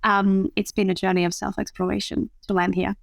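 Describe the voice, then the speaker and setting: A woman's voice: mid-range voice, level tone, brisk, creaky, dry recording, studio quality. A woman in her thirties, a matchmaker guest in a podcast interview, who talks thoughtfully with frequent fillers and restarts. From France. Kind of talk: interview conversation.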